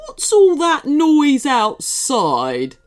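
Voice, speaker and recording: Lady doing a bossy grumpy little cupcake guy from a kids story, groans and demands, pitch all over. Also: wildly swooping pitch, drawn-out words, full character voice. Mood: defiant. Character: rude, grumpy, defiant male cupcake come to life.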